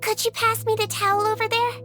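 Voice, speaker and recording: A very high, gentle young nurse. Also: female voice, little pitch movement. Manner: soft, caring, measured. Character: gentle, calm young nurse of a small non-human people. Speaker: head nurse. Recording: game audio with music behind.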